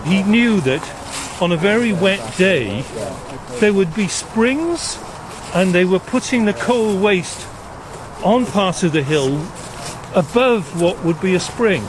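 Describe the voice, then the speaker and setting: An older man from South Wales, explaining things in a measured way as an interview guest. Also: high voice, expressive, crowd noise, rough recording. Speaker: interview guest, retired nuclear engineer. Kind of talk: explanatory conversation. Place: outdoors walking in a gorge. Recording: outdoor recording with clear traffic noise. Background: road traffic and outdoor ambience.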